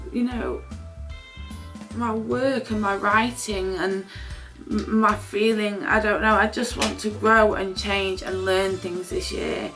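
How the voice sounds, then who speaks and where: A woman's voice: medium pitch, gravelly, a bit bright, low-quality recording. A British woman chatting casually and off the cuff, rambling and self-deprecating in an informal vlog style. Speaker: vlogger. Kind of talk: casual unscripted chatty get-ready-with-me.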